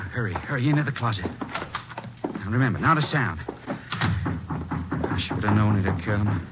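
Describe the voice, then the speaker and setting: An actor playing a kindly, dutiful small-town doctor, folksy and earnest, in a 1950s radio drama. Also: male voice, mid-range voice, close to an everyday voice. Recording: muffled old radio broadcast with hiss.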